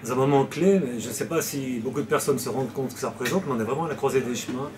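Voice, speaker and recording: A man's voice: mid-range voice, racing, noisy background. A man from Switzerland, a novelist answering interview questions in a hesitant way, with many fillers and restarts.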